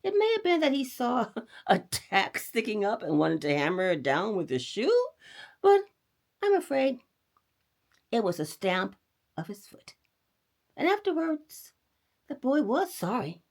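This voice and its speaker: A woman storyteller hosting a read-aloud for children, talking directly to her young listeners in an expressive, animated way. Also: on the high side, measured pace, long pauses.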